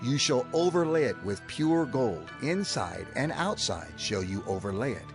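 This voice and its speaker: An actor playing a solemn, fervent male prophet in a dramatized scripture reading, declaiming deliberately to his people. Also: medium pitch, sing-song. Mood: solemn, instructing. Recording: music bed behind the voice.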